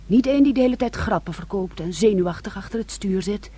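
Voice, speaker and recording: A woman's voice: mid-range voice, expressive, fast, warm tone, breathy edge. A woman acting in a radio drama as a bossy, affectionate young sister who gives firm instructions. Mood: bossy, fond.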